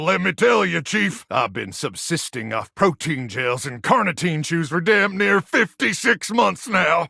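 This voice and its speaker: An actor playing an earnest, unhinged muscle-bound post-apocalyptic warrior in a video game, gravelly and raspy, full of over-the-top military bravado. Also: male voice, on the high side, pushed and bright, dry recording, studio quality, exaggerated voice. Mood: eager, confiding. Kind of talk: video game character acting.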